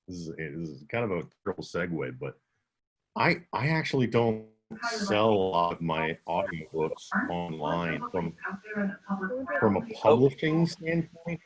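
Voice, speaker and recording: A man's voice: fairly low pitch, slightly rough, poor audio. A man with a slight Southern accent, an audiobook narrator answering questions in a conference Q&A over a video call, talking casually and often restarting his sentences. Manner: conversational, with many restarts and self-corrections.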